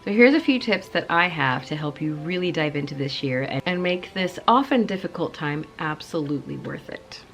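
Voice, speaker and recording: A woman's voice: deep voice, expressive, moderate pace, hardly any pauses. A woman host chatting playfully to camera with cozy enthusiasm, her voice a little off because she is coming down sick, sometimes dropping into a spooky whisper. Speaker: channel host. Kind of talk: cozy casual chat to camera.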